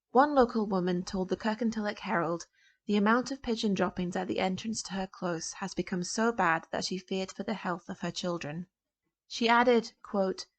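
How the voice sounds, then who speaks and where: A woman reads prepared newspaper stories aloud as a volunteer. Her voice is medium in pitch for a woman. She follows a written script, as in a recorded news reading made at home.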